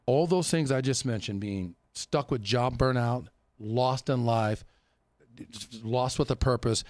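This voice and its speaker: A man's voice: mid-range voice, measured pace, darkish tone, dry recording. A man co-hosting a casual chat, earnest and motivational, often speaking in lists. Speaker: co-host, event facilitator.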